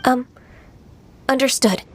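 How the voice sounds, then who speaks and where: Gentle, timid half-adept. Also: female voice, sits high, slow, noisy background. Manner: soft, polite, hesitant. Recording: game audio with music behind.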